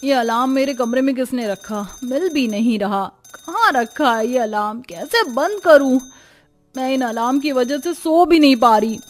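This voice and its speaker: A woman's voice: high-pitched, lively intonation, moderate pace, soft background music. A woman voicing a defiant, whiny young daughter-in-law in a cartoon story, with an exaggerated, complaining delivery. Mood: annoyed. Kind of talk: Hindi cartoon story dialogue.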